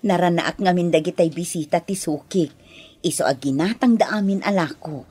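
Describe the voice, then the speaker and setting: Tender, very husky poor mother. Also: lowish voice, normal speed, a little mellow. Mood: tender, pleased. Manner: tender, affectionate. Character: loving, hardworking poor vendor mother. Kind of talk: radio drama acting.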